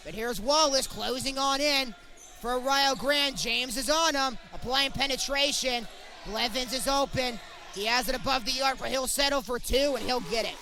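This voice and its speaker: A young man commentates basketball in a very high, bright voice. His play-by-play is excitable, following each pass in short clipped phrases. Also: moderate pace. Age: young adult. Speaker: sports commentator.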